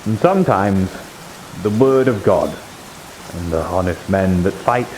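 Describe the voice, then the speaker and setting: Man playing a low-voiced, devout holy warrior. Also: expressive, measured pace, darkish tone, a little hiss or hum, rough recording. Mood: fervent. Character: earnest, devout holy warrior, plain-spoken and loyal. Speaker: roleplayer. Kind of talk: improvised in-character fantasy roleplay. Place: live online VR roleplay stream.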